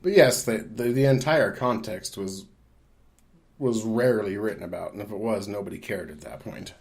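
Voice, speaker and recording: Low-voiced man, casual podcast talk. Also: normal speed, darkish tone. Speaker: podcast co-host. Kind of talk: casual opinionated discussion.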